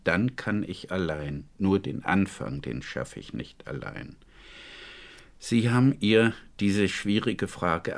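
Deep, gravelly male radio interviewer. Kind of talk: measured documentary interview.

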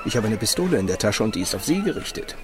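Curt low gunman, loud music behind. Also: sing-song, very fast. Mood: threatening. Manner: terse, commanding. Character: curt, threatening male gunman forcing a hostage. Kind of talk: German radio drama acting.

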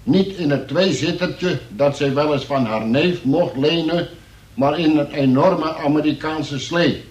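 A man's voice: fairly high pitch, measured pace, roomy sound, distant mic, dull audio, natural voice. An actor playing a wry arrested man who narrates his statement in mock-formal style for a Dutch radio play. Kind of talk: scripted first-person radio play narration.

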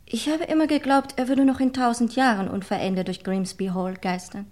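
A woman's voice: a little high, steady tone, fairly quick, darkish tone, close to an everyday voice. A woman playing a flippant upper-class niece in a radio crime play, pointed and lightly mocking. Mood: teasing, pointed. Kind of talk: old German radio play acting.

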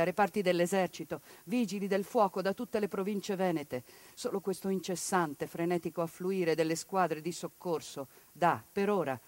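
A woman's voice: fairly low pitch, darkish tone, no echo. Grave woman dictating a report. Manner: grave, measured, reads punctuation aloud. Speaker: stage performer. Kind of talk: theatrical monologue, dictated report. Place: radio studio or stage.

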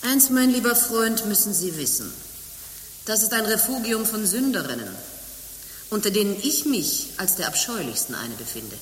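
An actress playing a worldly, stern abbess in a German radio play, speaking formally and theatrically. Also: female voice, medium pitch, very animated, normal speed, slight background noise, close to an everyday voice. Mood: solemn, frank. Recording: long reverb, music behind.

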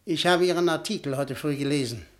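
An actor as high-voiced, domineering tycoon. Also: male voice, fairly quick, close to an everyday voice. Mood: sardonic. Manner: blunt, imperious. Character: domineering, blunt male industrial tycoon, self-important. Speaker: radio drama actor. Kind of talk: German radio play acting.

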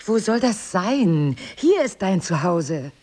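An actress playing a guarded middle-aged wife in an audio drama, husky and breathy, tender and softly ironic. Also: female voice, medium pitch, sing-song, slow pace. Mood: guarded, gentle. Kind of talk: intimate audio drama dialogue.

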